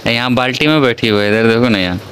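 A chatty young man films his family on a phone and talks to the viewers in a small home. His voice sits at an ordinary middle pitch. Clear background noise runs under the whole recording. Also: fast, a bit bright. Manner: chatty, laughs often, addresses viewers directly. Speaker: vlogger. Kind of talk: casual family vlog narration.

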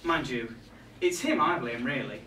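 A man's voice: medium pitch, animated, a little slow, sharp tone, recorded from a distance. Young guy playing a bitchy grumbling reindeer, indignant and gossipy, really swoopy voice, echoey pub stage reading. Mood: sarcastic, gossipy. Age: young adult. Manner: indignant, gossipy, arch asides to the audience. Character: catty, resentful male reindeer grumbling about Santa's crew. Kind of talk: comic stage monologue read to a live audience. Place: small pub room with a live audience. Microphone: far camera. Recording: echoey room from afar, background noise.

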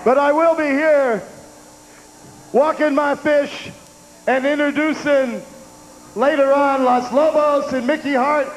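An older man with a high, unhurried voice jokes and leads chants into a handheld mic on an outdoor festival stage. His voice booms back off the stage's PA with a long echo. Crowd noise hums behind him. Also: steady tone. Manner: shouted crowd chants, goofy puns. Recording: echoey outdoor PA with loud crowd.

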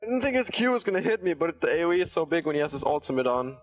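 A man's voice: a little high, sing-song, racing, dry recording. A young man streaming a game from a small room on a headset, chatting casually, swearing and thanking subscribers.

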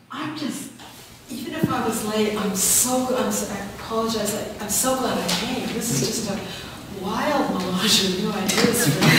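A woman in the audience asking an eager, rambling question. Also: medium pitch. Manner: eager, rambling, tumbling phrases. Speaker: audience member. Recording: distant voice in an echoey hall, noisy.

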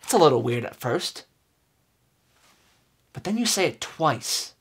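A man in his twenties giving an earnest, overwrought dramatic reading, with emphatic phrasing and deliberate pauses. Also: on the high side, sing-song, creaky, slightly rough. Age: 20s. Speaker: comedic performer. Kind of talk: theatrical dramatic reading of song lyrics. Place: indoors, small room with curtain backdrop.

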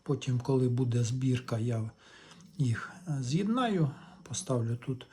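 Mellow-voiced man explaining hands-on repair. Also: medium pitch, animated, a little hiss or hum. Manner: thinking aloud, unhurried step-by-step explaining. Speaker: hobbyist electronics repairer presenting a video. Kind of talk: casual hands-on repair walkthrough.

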